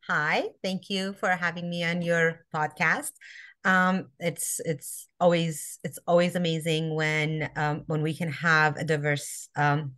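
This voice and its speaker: A woman from Iran, a podcast guest, answering interview questions with many pauses and ums. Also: low-pitched, a bit bright. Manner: hesitant, many ums and restarts. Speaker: podcast guest, social justice activist.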